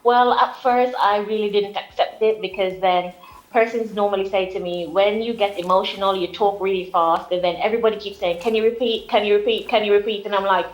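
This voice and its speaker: A woman's voice: mid-range voice, fairly even intonation, a little fast, low-quality recording. A young adult woman from Jamaica with a British-sounding accent, explaining things at length as a guest in a live TV interview.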